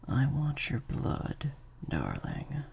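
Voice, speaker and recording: A man playing a sadistic vampire master in an improvised audio roleplay, menacing and teasing, in breathy half-whispers to a captive listener. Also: mid-range voice, wildly swooping pitch, very slow, darkish tone, a little hiss or hum, heavily acted. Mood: smug, menacing.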